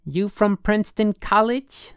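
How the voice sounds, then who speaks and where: A woman's voice: mid-range voice, a little slow, clean tone, dry recording, clean studio sound, voice pushed up, lightly acted. A woman voicing a brassy working gal in an audiobook, slangy and suspicious, with affectedly genteel airs. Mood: surprised, warming.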